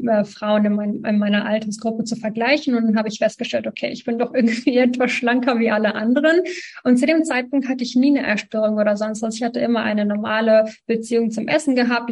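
A woman in her twenties from Ukraine, telling her story as a guest in a relaxed interview, talking with lots of fillers and small laughs. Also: fairly high pitch. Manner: chatty, many fillers, laughs between sentences. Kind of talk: casual interview talk.

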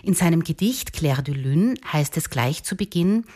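A woman presenter with a fairly low voice. She hosts in German-style broadcast fashion, giving scripted introductions and putting questions to a guest. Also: lively intonation, moderate pace, dark tone, slightly rough. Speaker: host.

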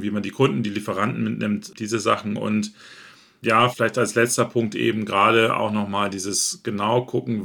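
Man from northern Germany, podcast host as guest. Gravelly, bright tone, chatty. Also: medium pitch. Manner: chatty, thinks aloud with fillers. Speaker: podcast host being interviewed. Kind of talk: relaxed conversational interview.

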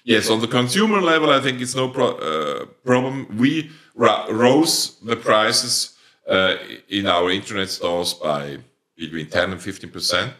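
A man's voice: lowish voice, expressive, unhurried, pushed and bright. A man with a Swiss German accent, speaking English as a later language, answering interview questions hesitantly with many fillers and restarts. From Switzerland. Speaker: plant producer, company owner.

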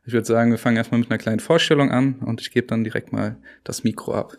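A young man hosting a casual student podcast, chatty and relaxed, reading out questions with a few fillers. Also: medium pitch, fast, creaky.

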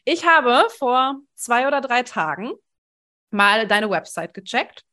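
A woman's voice: a little high, very animated, slow pace, bright, forceful tone. A woman in her thirties hosting a conversational podcast interview in an informal, enthusiastic way. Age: 30s. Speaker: podcast host.

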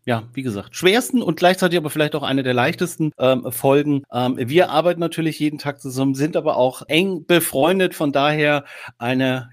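Chatty male podcast host. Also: a little high. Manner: chatty, many filler sounds. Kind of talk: casual podcast chat.

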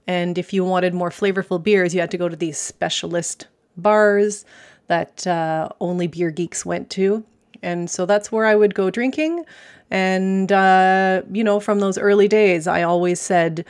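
A Canadian woman in her forties, a beer specialist talking as a guest on a podcast, chatty and thoughtful with many fillers. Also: lowish voice. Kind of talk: podcast interview.

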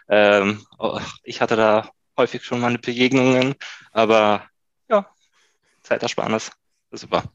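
A man in his late twenties from Berlin, answering interview questions in a relaxed way, with many fillers and short laughs. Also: mid-range voice, dry recording. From Berlin, Germany. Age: 20s. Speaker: guest. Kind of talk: casual interview chat.

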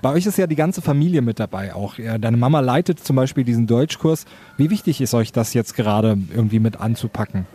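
A man's voice: mid-range voice, lively intonation, brisk, mellow, slightly rough. A man working as a radio reporter, talking informally in an on-location interview report. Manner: informal, chatty. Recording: on-location recording with background noise.

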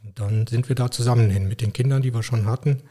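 A middle-aged man from Germany, a physician and podcast guest, talking in a relaxed, thoughtful way with frequent hesitations. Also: mid-range voice, quick pace. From Koblenz, Germany. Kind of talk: casual interview talk.

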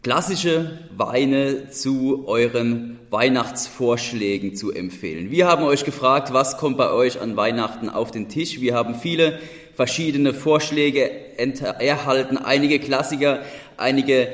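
A man's voice: medium pitch, a little slow, a bit bright. Host, male, Odenwald German accent, moderating a wine chat, echoey room with a long tail. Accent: Odenwald German dialect. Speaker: podcast host. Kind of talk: hosted wine podcast conversation.

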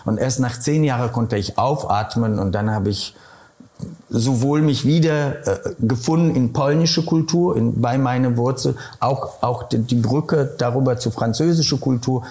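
A middle-aged man from Silesia with a Polish accent, answering interview questions thoughtfully and pausing often to find his words. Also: mid-range voice, dark tone. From Poland, Silesia. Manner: thoughtful, searching for words, many hesitations.

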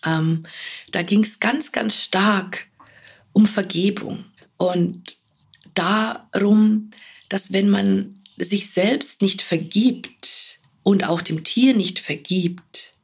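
Slow, husky woman with a cold. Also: medium pitch. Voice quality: husky, gravelly. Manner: gentle, earnest, with fillers and repeated words. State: hoarse from a cold. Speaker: podcast host, animal communicator. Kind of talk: solo podcast monologue.

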